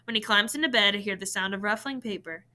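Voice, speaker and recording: A woman reading a novel in verse aloud, in an expressive storytelling style. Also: medium pitch, quick pace, a little hiss or hum.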